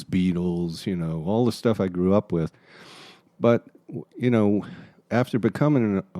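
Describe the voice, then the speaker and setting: An older man with a deep, mellow voice gives a casual interview. He is informal and a little self-deprecating, and the sound is dry and close. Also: no room sound. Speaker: interview guest, audio specialist.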